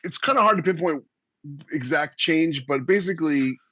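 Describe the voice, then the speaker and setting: A man's voice: a little high, moderate pace, pushed and bright, dry recording. An American man co-hosting a podcast, casually explaining and repeating phrases for emphasis, on a dull lo-fi mic. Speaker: podcast co-host. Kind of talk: casual panel discussion.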